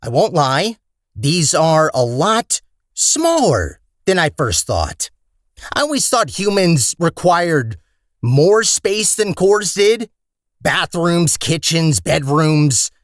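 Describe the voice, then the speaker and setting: A man's voice: fairly high pitch, very animated, takes it slowly, no echo, studio quality. A man voicing a talkative robot core in a video game, a petty, scheming villain with a sarcastic, theatrical and condescending manner. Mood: condescending, chatty. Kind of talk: video game character acting.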